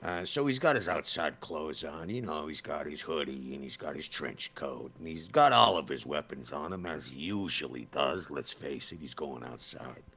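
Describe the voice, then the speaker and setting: A man's voice: very deep voice, expressive, moderate pace, hardly any pauses, bright, forceful tone, husky. A man chatting casually as a player in a tabletop roleplaying show, describing things in an offhand way. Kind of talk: casual chat.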